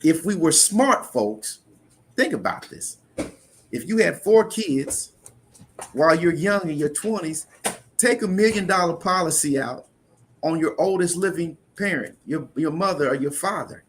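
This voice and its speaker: A man's voice: a little high, expressive, a little slow, a little hiss or hum. Earnest man explaining insurance plainly. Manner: earnest, explanatory, repeats words when restarting. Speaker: insurance investment guest. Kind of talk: conversational interview explaining.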